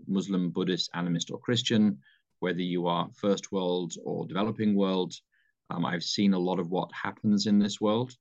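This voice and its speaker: A low-pitched British man in his fifties speaks as a pastor in an interview. He talks in a measured, halting way, full of ums and restarts. The recording is slightly dull and lo-fi. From United Kingdom. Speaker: pastor, mission leader. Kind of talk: interview answers.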